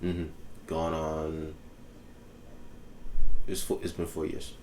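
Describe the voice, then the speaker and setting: A man's voice: extremely low pitch, completely flat delivery, takes it slowly, darkish tone. A young man from the Bahamas, a musician talking easily as an interview guest, laid-back and full of ums. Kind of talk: casual interview chat. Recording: echoey room with faint background noise.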